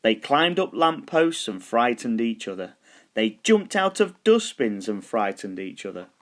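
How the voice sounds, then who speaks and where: A man reading a children's story aloud as scripted narration, deliberate and expressive, stretching words for emphasis. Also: medium pitch. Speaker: storybook reader.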